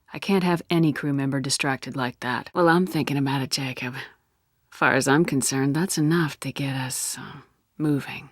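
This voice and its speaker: A woman's voice: deep voice, no echo, studio quality, lightly acted. A woman acting as a tough, confident space-navy commander in a video game, with a firm, controlled voice and a blunt, curt, assertive delivery. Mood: firm, resolute. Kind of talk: game dialogue, isolated voice lines.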